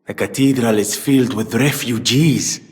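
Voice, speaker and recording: A man's voice: medium pitch, moderate pace, close to an everyday voice. An actor playing a wealthy, vain inventor and philanthropist in a video game, with pompous, flowery speech that turns hesitant when he is flustered. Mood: troubled, earnest. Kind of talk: theatrical video game voice acting. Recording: long reverberant hall.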